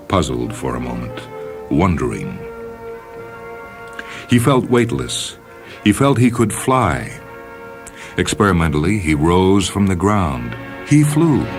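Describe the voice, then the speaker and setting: A man reading a science fiction story as narrator, in a solemn, measured dramatic style. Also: extremely low pitch. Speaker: narrator, short story reader. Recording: music under the voice. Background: music.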